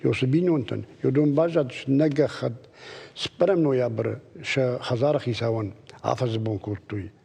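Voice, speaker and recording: A man's voice: mid-range voice, a little slow, creaky, dark tone, husky, long reverb tail. A man being interviewed, giving a plain conversational account in short phrases. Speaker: interview guest.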